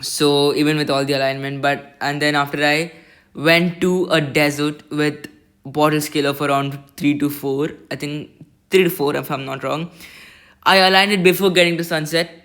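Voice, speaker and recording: A man with a squeaky voice giving a rambling, self-conscious voice-over review of a telescope, recorded on a laptop mic. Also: steady tone, moderate pace, a bit bright, clean tone. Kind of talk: casual voice-over product review.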